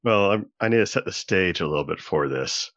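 A man's voice: low-pitched, lively intonation, fairly quick, fairly bright tone, no echo. A man telling anecdotes casually as a podcast guest, on dull, dry lo-fi audio. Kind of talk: casual storytelling chat.

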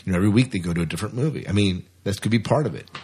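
A man's voice: fairly low pitch, very fast, a little mellow, husky. A man co-hosting a podcast, talking through entertainment news in a casual, talkative way with repeated phrases for emphasis. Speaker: podcast co-host.

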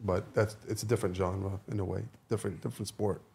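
A man's voice: low-pitched, steady tone, fast, vocal fry, mellow. A man who is a podcast guest and rapper, chatting casually and informally in a studio, often repeating his phrases as he goes.

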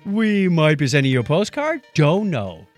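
A man's voice: fairly high pitch, sing-song, normal speed, soft background music. A man hosting a podcast in a casual, joking, conversational way. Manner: joking, playful. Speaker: podcast host. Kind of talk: casual co-hosted podcast chat.

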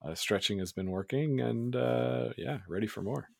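A man's voice: mid-range voice, normal speed, vocal fry, dry recording, studio quality. A man with a slightly scratchy voice, chatting casually as a podcast co-host. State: slightly scratchy voice from yelling while curling. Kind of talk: casual chat.

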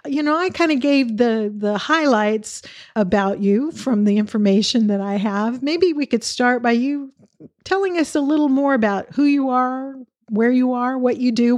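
A woman's voice: fairly high pitch, a little mellow, dry recording. A woman hosting a podcast interview, introducing her guest and asking questions in a friendly, conversational way. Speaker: podcast host.

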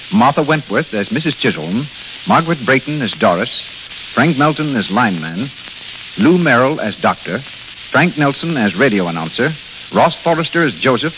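A man announcing on 1930s radio, reading scripted credits in a formal way. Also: medium pitch. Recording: hissy, crackly dull 1930s radio recording.

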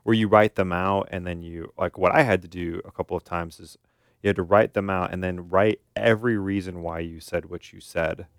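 A man's voice: low-pitched, steady tone, brisk, no echo, clean studio sound. A man co-hosting a casual podcast, talking in an informal, teasing way. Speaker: podcast co-host, therapist.

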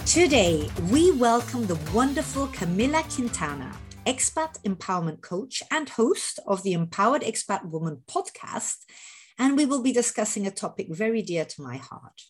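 A woman in her fifties hosting an interview podcast, talking in an animated way and often restarting her sentences. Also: a little high, moderate pace, slightly rough, soft background music. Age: 50s. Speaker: podcast host. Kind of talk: conversational interview.

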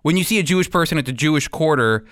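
A man's voice: a little high, expressive, quick pace, a bit bright, dry recording, clean studio sound. A man giving sermon-like Bible teaching as a radio host, in an earnest, emphatic manner.

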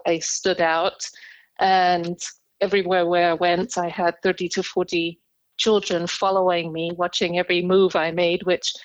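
Woman, Indonesian first language, low-pitched, dry close sound, reflective, measured. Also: no echo, dull audio. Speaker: interview guest, communication skills trainer. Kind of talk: podcast interview storytelling.

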